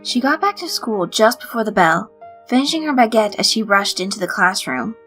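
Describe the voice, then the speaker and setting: A woman reading a scripted story as narrator, in an expressive storytelling style. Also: medium pitch, normal speed. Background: light background music.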